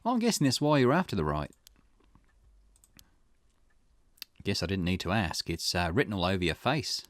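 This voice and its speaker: A man acts out a wry game detective in a low voice that swings expressively. He is dry and skeptical, a plain talker who likes to question. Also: huge pitch swings, fast talker, dry recording. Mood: sympathetic, firm. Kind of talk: character voice reading visual novel dialogue.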